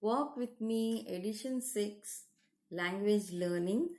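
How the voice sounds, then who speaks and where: An Indian woman leads a spoken English lesson at an easy, measured pace. She lays out each point slowly and deliberately, the way a teacher talks a class through a list. Her pitch sits in an ordinary middle range. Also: over music. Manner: slow, deliberate teaching delivery, switching into Hindi. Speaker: language teacher.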